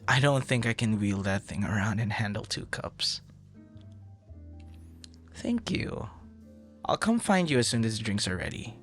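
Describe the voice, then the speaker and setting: A man's voice: lowish voice, big pitch swings, measured pace, pauses often, a bit bright, faint music behind. A man performing an audio roleplay as a friendly, easily flustered young traveler chatting intimately and casually with a stranger, teasing and stammering playfully. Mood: playful, charming. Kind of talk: intimate boyfriend-style ASMR roleplay, casual conversation.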